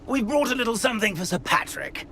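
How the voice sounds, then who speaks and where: An actor playing a courtly, pompous old castle ghost in a video game. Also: male voice, very high voice, very animated, fast, vocal fry, pushed and bright, a little hiss or hum, exaggerated voice. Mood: courtly, urgent. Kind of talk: video game character acting.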